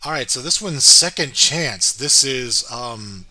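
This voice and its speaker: A man co-hosting a casual review, agreeable and hesitant as he thinks out loud. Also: mid-range voice, expressive, slow. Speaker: co-host of a cartoon review show.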